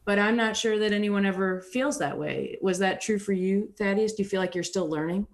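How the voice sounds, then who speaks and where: An easygoing woman is interviewing a guest. She asks thoughtful questions in a conversational, relaxed way. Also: mid-range voice, fairly quick.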